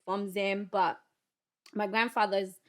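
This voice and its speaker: A woman in her thirties from Zimbabwe, a guest telling stories with lively energy in a podcast studio. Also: medium pitch, a little slow. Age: 30s. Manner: lively storytelling, acting out voices. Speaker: guest broadcaster. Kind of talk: casual conversation. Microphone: studio mic.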